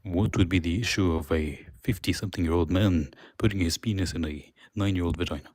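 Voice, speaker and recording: A man whose first language is Arabic, forcefully pressing his points and talking over the other side in a heated live debate. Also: low-pitched, a little fast, no room sound, clean studio sound.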